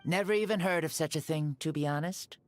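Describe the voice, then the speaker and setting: A woman's voice: low-pitched, fairly quick, no room sound, natural voice. A woman voicing a playful, curious ancient hero in a youthful body for a video game, with a teasing, amused manner. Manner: teasing, amused, wonder-struck. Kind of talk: video game character acting. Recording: game music behind the voice.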